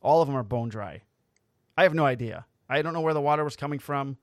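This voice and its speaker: A man's voice: mid-range voice, quick pace, no echo, studio quality. A man who is a police officer and podcast host, telling a work story in a blunt, wry way with plenty of swearing. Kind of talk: casual storytelling.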